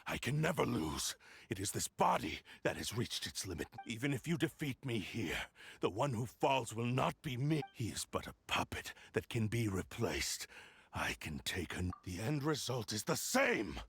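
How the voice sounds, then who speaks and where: An actor playing an arrogant, obsessive cursed sword spirit in a video game, haughty and menacing, with gravelly theatrical delivery. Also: male voice, medium pitch, big pitch swings, normal speed, fairly bright tone, full character voice. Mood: bitter, threatening. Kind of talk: dramatic video game voice acting.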